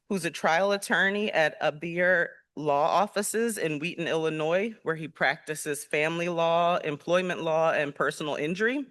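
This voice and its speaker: A man who moderates the panel, reading formal introductions of the speakers at a steady pace. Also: a little high, dry recording, studio quality.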